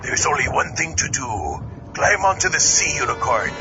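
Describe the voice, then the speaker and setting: A man's voice: on the high side, very animated, moderate pace. A man playing a boozy, crude pirate sea captain in a comic audio drama, blustering and theatrical. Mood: urgent bluster. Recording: dull lo-fi recording under loud music. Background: loud music.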